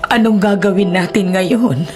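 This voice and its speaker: An actress playing an anxious, devoted older nanny in a radio horror drama, speaking in an earnest, emotional, melodramatic way. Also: female voice, medium pitch, normal speed, over music, close to an everyday voice. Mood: worried, resolute.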